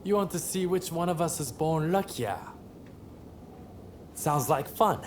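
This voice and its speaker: A man's voice: fairly high pitch, lively intonation, a little slow, a little hiss or hum. A man playing a cocky, flamboyant rebel samurai and gambler in a video game cutscene, with a sardonic, theatrical drawl. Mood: amused.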